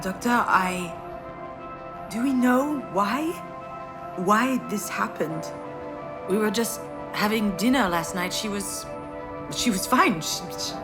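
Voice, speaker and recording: A woman's voice: medium pitch, lively intonation, slow, pauses often, music in the background. An actress playing an anxious, self-deprecating writer in her thirties in a video game, with a hesitant, wry, introspective delivery. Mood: defensive, shaken. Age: 30s. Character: anxious, self-deprecating 36-year-old failed writer daughter. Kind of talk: naturalistic game voice acting, dialogue and inner monologue.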